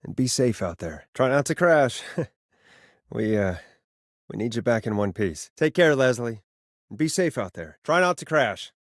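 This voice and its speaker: A man's voice: medium pitch, big pitch swings, normal speed, no room sound, studio quality, close to an everyday voice. An actor playing a sardonic male late-night radio talk show host who stammers a little under pressure, in a video game. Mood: concerned, uneasy. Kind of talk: video game voice acting, conversational radio patter.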